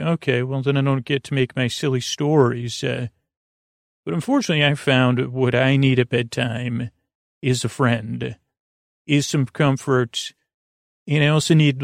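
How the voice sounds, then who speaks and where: Male bedtime podcast host, crackly vocal fry, dry sound, meandering and halting delivery with digressive asides. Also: mid-range voice, dry recording. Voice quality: creaky. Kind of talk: rambling bedtime podcast monologue.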